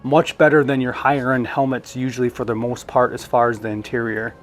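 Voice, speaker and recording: Male reviewer, mid-pitched, chatty and unscripted hands-on unboxing delivery, light music underneath. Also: flat delivery, brisk. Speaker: product reviewer.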